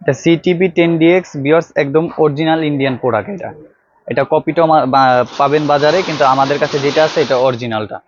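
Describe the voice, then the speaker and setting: A man with a Bangladeshi Bengali accent, a shop salesman showing products to viewers in a loose, repetitive sales pitch full of filler sounds, on a clip-on mic. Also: fairly even intonation, brisk, no room sound, lo-fi sound. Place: computer accessories shop. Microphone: clip-on tie microphone.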